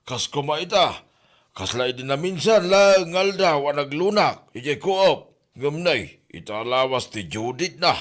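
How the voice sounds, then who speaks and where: A man's voice: a little high, lively intonation, unhurried. A man playing a debt-burdened tricycle driver in an Ilocano comedy drama, lamenting his troubles with an Ilocano accent. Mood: worried, lamenting.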